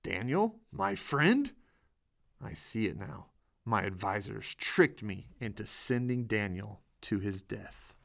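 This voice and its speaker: A man's voice: on the low side, lively intonation, slow pace, creaky, a bit bright, heavily acted. A man voicing a proud, commanding Persian king in a Bible storybook reading. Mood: shocked, regretful.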